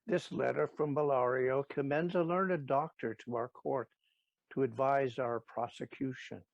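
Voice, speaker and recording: A man's voice: medium pitch, fairly even intonation, a little slow, gravelly, dry recording. A man acting a stately, courteous duke presiding over a court in an audio play, formal and authoritative, on a slightly dull recording.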